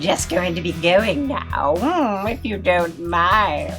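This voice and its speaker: A woman voicing a smug, shifty, tipsy male swindler in a folktale audio drama, theatrical and drawn-out. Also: lowish voice, lively intonation. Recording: music behind the voice.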